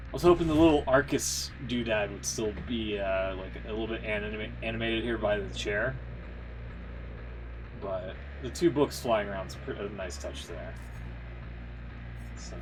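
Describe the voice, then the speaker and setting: A man's voice: lowish voice, noisy background. A man giving casual livestream game commentary, thinking aloud in an informal way with muttered asides. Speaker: livestream host, gamer. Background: background music.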